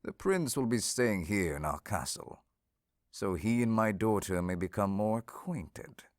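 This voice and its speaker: A man playing a stern, authoritative king in a fantasy audio drama, measured, formal and commanding. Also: fairly low pitch, animated, no room sound, studio quality. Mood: grave, commanding. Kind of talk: fantasy audio roleplay acting.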